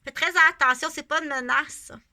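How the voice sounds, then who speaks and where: This is a middle-aged woman with a Québécois accent, speaking unscripted to her viewers. Her voice sits high and has a sharp, bright edge. She rambles from point to point and repeats phrases for emphasis. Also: fairly even intonation, brisk. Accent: Québécois French. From Canada. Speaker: vlogger. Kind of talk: casual unscripted monologue to camera.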